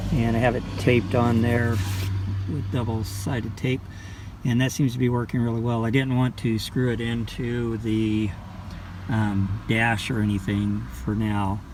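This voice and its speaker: Older male DIY vlogger, mid-pitched, casual and unhurried, recorded with noticeable ambient noise. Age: older, a grandfather. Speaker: vlog host showing a DIY install. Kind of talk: casual unscripted how-to talk. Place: outdoors in a parking lot, around a truck. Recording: clear car and ambient noise.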